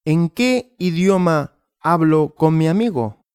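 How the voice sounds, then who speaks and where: Male language teacher, very slow and deliberate, lively up-and-down intonation, dry close recording. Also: fairly high pitch, clean tone, dry recording. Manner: very slow, deliberate, repeating and asking himself questions. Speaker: Spanish teacher. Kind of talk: slow graded language lesson with questions and answers.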